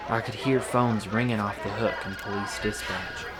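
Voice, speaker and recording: A man playing an observant police officer, telling his story in a halting, confiding first-person audio drama narration. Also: on the low side, fairly even intonation, natural voice. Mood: wry, measured. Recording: music playing behind the voice. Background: music.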